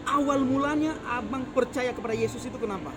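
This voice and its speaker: A man's voice: very high-pitched, fast, background noise. A man from Aceh hosting his channel, casually interviewing and translating for his guest. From Bireuen, Aceh.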